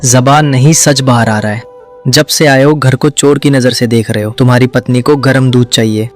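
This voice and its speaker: A man's voice: medium pitch, steady tone, normal speed, faint music behind, natural voice. A man voicing a stern, blunt householder in an emotional cartoon family drama. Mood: harsh. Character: stern suspicious householder, quick to accuse his relative. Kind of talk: dramatic cartoon story dialogue.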